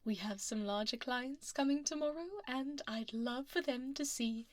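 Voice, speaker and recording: A woman voicing a powerful dragon lady executive in a soft, whispery ASMR roleplay, poised and condescending, politely menacing, close on a binaural mic. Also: a little high, dry recording, natural voice. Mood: silky, businesslike. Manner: polished, slow, politely menacing. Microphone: close binaural ear microphone.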